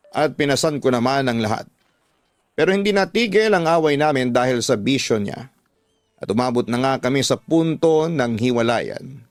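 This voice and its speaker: A man's voice: mid-range voice, no echo, studio quality. A Filipino man delivering dramatic scripted first-person narration as a radio drama storyteller. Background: background music.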